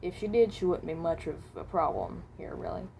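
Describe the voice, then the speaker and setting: Woman playing a halting, muted, low-voiced dimension traveler. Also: sing-song, gravelly, warm tone, a little hiss or hum. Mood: thoughtful, reassuring. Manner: halting, hesitant, trailing off and rephrasing. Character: hesitant, mild-mannered traveler from another dimension. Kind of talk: improvised in-character voice lines.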